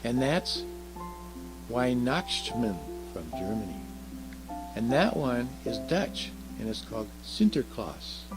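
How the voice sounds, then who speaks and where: A man voicing a curious, earnest little girl in a children's storybook, soft and chatty, asking and explaining eagerly. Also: medium pitch, very animated, takes it slowly, over music, pitched above the performer's own voice, rough recording. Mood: proud, chatty. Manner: eager questioning, explaining carefully. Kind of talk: children's storybook read aloud.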